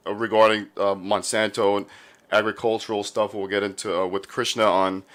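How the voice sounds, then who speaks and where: A man's voice: lowish voice, little pitch movement, moderate pace, a bit bright. A man hosting a podcast in a casual, laid-back, informal interview style. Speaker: podcast host.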